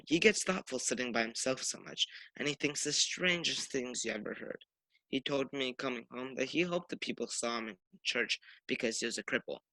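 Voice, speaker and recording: A cheerful, tender father and humble clerk played by a man in a radio drama. His voice is mid-pitched, bright and forceful, with a dry sound. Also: dry recording, low-quality recording. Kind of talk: radio play acting.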